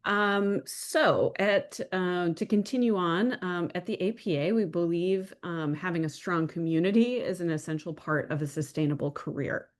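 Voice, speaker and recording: A woman's voice: lowish voice, normal speed, hardly any pauses. Matter-of-fact woman in her thirties. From New York, USA. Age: 30s. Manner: plain, matter-of-fact with some ums. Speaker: panelist, archival producer. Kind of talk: town hall presentation on video call. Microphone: video call.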